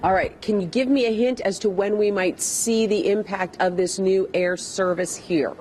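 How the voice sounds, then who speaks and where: A woman's voice: mid-range voice, steady tone, moderate pace, darkish tone. A woman working as a live TV news reporter, interviewing on location in a chatty, conversational, playful way. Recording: faint background noise.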